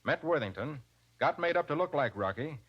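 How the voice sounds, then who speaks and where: An actor playing a commanding, quick-witted detective hero, brisk and confident, in a 1940s radio crime drama. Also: male voice, fairly high pitch, expressive, slightly rough. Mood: explaining, assured. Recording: dull vintage 1940s radio recording.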